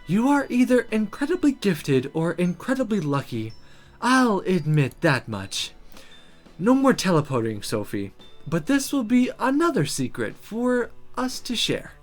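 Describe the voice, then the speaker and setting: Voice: medium pitch, sing-song, a little slow, faint music behind. A streamer voicing a stern but fond female magic teacher from a visual novel, formal and exasperated.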